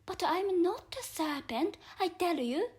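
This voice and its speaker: Woman playing a little girl, storybook, high voice, slow, earnest, polite, puzzled, hesitant. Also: expressive, a little mellow. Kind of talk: storybook character voice.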